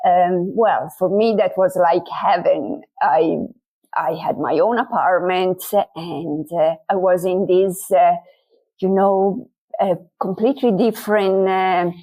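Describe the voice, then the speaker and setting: Slow, thoughtful woman. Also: lowish voice, warm tone, rough recording. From Italy. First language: Italian. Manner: thoughtful, many filler pauses. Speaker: author guest. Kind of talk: interview conversation.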